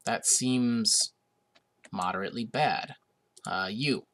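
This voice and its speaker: A man's voice: fairly low pitch, takes it slowly, a bit bright. A young man hosting a gaming video, getting over a cold and a bit sniffly, chatting casually with lots of hesitations while he plays. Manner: chatty, hesitant with many uhs, amused. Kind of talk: casual let's-play commentary.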